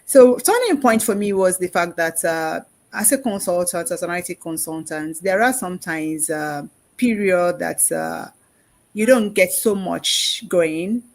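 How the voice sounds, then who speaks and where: A woman's voice: lowish voice, a little slow. A mature woman in her fifties or older from England, chatting casually as an interview guest. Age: 50s or older.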